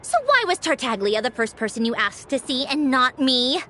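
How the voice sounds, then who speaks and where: A bossy harbinger engineer, sharp-tongued, wry, very lively pitch, game dialogue over faint music. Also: female voice. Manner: brisk, wry and dismissive. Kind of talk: game voice acting.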